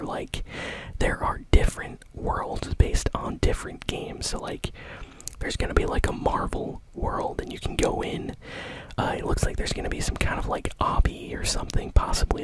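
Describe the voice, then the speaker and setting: Whispering male ASMR host. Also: normal speed. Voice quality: breathy, unvoiced whisper. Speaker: host of a gaming news show. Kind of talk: ASMR, whispered casual gaming news.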